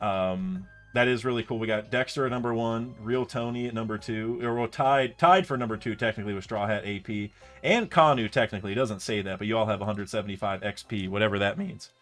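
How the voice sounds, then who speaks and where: A man's voice: fairly low pitch, fairly quick, fairly bright tone, faint music behind. A young man chatting casually on a live stream, thinking aloud in rambling sentences full of restarts and fillers. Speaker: streamer reacting to a comic chapter.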